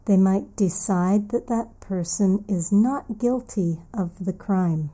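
A woman hosting an English lesson, recapping a story and then explaining vocabulary words slowly and carefully, repeating each key word. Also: medium pitch, warm tone, slightly rough. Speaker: English teacher and podcast host.

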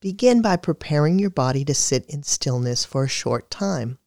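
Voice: medium pitch, gravelly, dry recording. Dry, close guided meditation narrator. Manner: calm, measured, soothing delivery.

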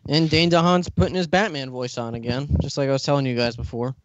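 A man co-hosting a casual podcast, joking around with a shrill voice. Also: fairly even intonation, fast talker, highs cut off, slight background noise. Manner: joking, does mock deep voices. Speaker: podcast co-host.

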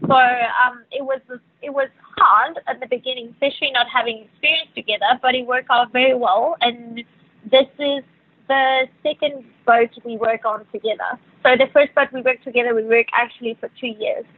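Woman, Spanish native speaker, South African accent, high voice, hesitant with fillers, tinny phone line, dry sound. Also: fairly even intonation, moderate pace, no echo. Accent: South African English. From Venezuela. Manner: hesitant, many fillers and restarts. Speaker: yacht crew member, guest. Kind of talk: casual interview answers.

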